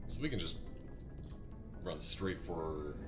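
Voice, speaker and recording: A man with an extremely low voice comments live on a video game. He talks casually and haltingly, with some swearing. Loud game music plays behind him. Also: lively intonation. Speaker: streamer.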